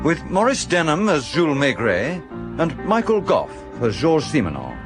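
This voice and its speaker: A man's voice: fairly high pitch, wildly swooping pitch, moderate pace. A man announcing scripted radio drama credits as a programme announcer. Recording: loud music under the voice. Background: loud theme music.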